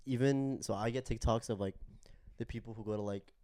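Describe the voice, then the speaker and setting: A young man co-hosting a podcast, talking in a relaxed, thoughtful way. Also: medium pitch, fairly quick. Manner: relaxed, thoughtful, many fillers. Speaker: podcast co-host. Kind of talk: casual chat.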